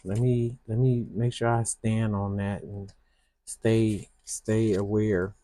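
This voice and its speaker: A woman's voice: a bass voice, fairly even intonation, slight background noise. A woman from Michigan with a Southern US accent, rambling in a casual way as she decorates her planner. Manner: slow, soft-spoken, rambling with restarts. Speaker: planner vlogger.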